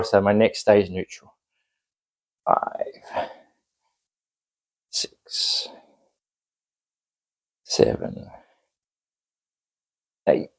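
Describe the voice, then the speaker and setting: A man's voice: fairly low pitch, gravelly, a little mellow. A man with a New Zealand accent demonstrating a home workout, chatting encouragingly and counting reps aloud while he exercises. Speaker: fitness instructor.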